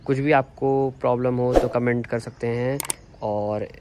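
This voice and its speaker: A man's voice: mid-range voice, steady tone, unhurried, very noisy. A young man from India with an Indian accent, casually showing his home gym setup as a fitness vlogger and mixing Hindi and English. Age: young adult. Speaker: fitness YouTuber. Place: sunny rooftop terrace.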